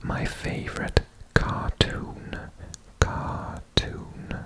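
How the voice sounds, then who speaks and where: A person from Wales whispering in a breathy, deliberate way for ASMR, repeating words. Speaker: ASMR host presenting a personal guessing quiz.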